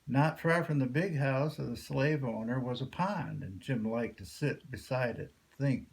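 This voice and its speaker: A man's voice: medium pitch, normal speed, vocal fry. An older man reading a folktale aloud as a storyteller.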